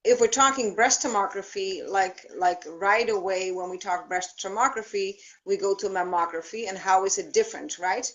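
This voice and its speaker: Dutch accent woman on a video call, guest explaining stuff step by step, lots of pauses, mid pitch. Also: muffled recording. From Netherlands. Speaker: thermography practitioner, guest. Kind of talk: explanatory interview.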